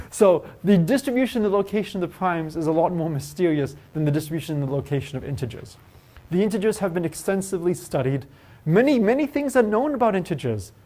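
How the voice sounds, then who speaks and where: A man giving a university math lecture, asking the class questions and joking with students. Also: high-pitched. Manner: lively, asks the class questions and jokes. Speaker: math professor. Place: lecture hall.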